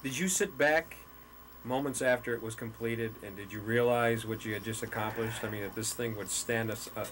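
A man's voice: fairly low pitch, normal speed, a bit bright, a little hiss or hum. A man asking conversational questions as an interviewer.

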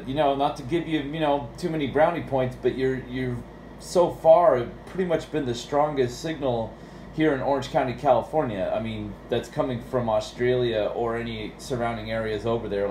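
A man's voice: medium pitch, normal speed, darkish tone. American guy on the cb, chatty and rambling, roomy echo, bit of background noise. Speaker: CB radio operator. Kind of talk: casual technical radio chat.

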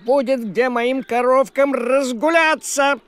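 A man's voice: extremely high pitch, takes it slowly, a bit bright, exaggerated voice. A man voicing a fussy, practical farm cat in a cartoon, with a drawling manner. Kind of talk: animated cartoon acting.

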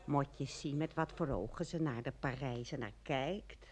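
A woman's voice: a bass voice, moderate pace. A woman playing a gossipy, flirtatious village lady in a radio play. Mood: gossipy, amused. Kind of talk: radio drama acting.

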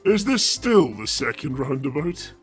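A man's voice: medium pitch, very animated, measured pace, breathy edge. A man giving a theatrical, declaiming comic reading of a mock-epic for an online radio show. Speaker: story reader. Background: music.

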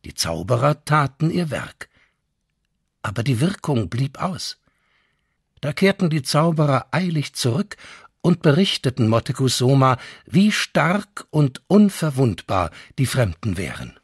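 Male reader for historical accounts, unhurried, widely varied intonation, dramatic. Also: medium pitch, sing-song, breathy edge, dry recording, studio quality. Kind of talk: dramatic scripted reading of a chronicle.

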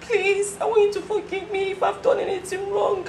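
An actress playing a friend who begs and apologizes over and over. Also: female voice, very high voice, little pitch movement, normal speed, vocal fry, a little mellow. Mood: apologetic. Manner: apologetic, begging, repeats sorry. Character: woman confessing a betrayal to her friend. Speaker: friend confessing. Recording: roomy echo, faint noise.

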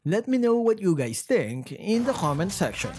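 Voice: medium pitch, big pitch swings, normal speed, crowd noise. A gaming video host reading scripted narration about game updates. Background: background music.

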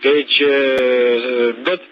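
Slow, hesitant male controller on radio. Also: mid-range voice, little pitch movement. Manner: hesitant, groping for English words. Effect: aviation radio. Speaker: air traffic controller. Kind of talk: radiotelephony instructions. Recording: dull radio transmission.

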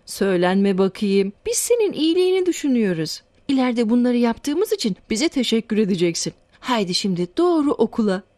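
A woman's voice: on the high side, sing-song, brisk, few pauses, dark tone, no room sound. A woman voicing a well-meaning, scolding mother of a schoolboy in a children's cartoon, firm and admonishing. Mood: stern.

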